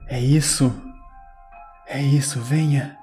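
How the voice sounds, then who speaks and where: Um homem interpretando um personagem de fantasia corrompido e sedento de poder, exultante, com fala solene e declamatória. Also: male voice, mid-range voice, fairly even intonation, music in the background, lightly acted. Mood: commanding, exultant. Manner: slow, solemn, declamatory. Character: power-hungry corrupted man, exultant and solemn, craving a rune.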